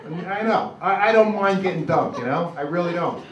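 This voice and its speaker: A dull, slightly noisy live recording, captured at a distance, of an older male stand-up comedian. He has a New York accent and rambles through crude material in a halting, self-deprecating way. The room rings noticeably around his voice. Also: high voice, fairly bright tone. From Brooklyn, New York, USA. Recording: dull, noisy, echoey club recording from afar.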